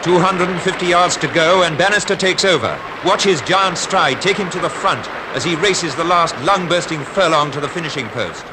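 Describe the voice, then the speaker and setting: 1950s newsreel man: Received Pronunciation, highish voice, formal dramatic announcing, noisy archive sound. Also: moderate pace, few pauses. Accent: British Received Pronunciation. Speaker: newsreel commentator. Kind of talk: 1950s scripted newsreel commentary.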